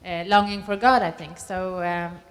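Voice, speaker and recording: A woman's voice: lowish voice, steady tone, normal speed. Woman commenting, hesitant. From Sweden. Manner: hesitant, searching for words with many fillers. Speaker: audience participant. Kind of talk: spontaneous comment at a workshop.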